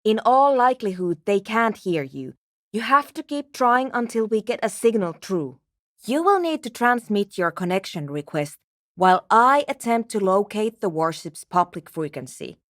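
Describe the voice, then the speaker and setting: A woman's voice: medium pitch, sing-song, normal speed, no room sound, clean studio sound, lightly acted. A woman playing an earnest, exacting programmer and radio technician in a video game, speaking in precise, formal, clipped technical phrases. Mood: businesslike. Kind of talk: video game character dialogue.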